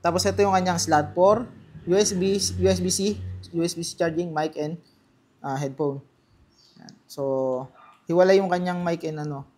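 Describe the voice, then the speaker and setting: A man with a Filipino accent giving casual, unscripted unboxing commentary, halting and thinking aloud as he handles things. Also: high voice, steady tone, darkish tone. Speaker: vlogger presenting product unboxing.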